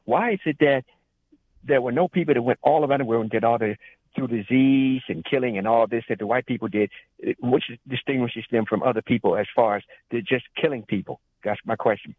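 A man's voice: mid-range voice, slightly rough. Rambling male caller on tinny phone line. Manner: rambling, halting. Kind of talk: unscripted call-in question. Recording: thin, band-limited phone line.

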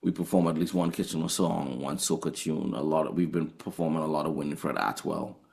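A man's voice: a bass voice, steady tone, fairly quick, creaky, a little mellow. A man with a Trinidadian accent, a touring jazz musician answering interview questions earnestly, often restarting his phrases.

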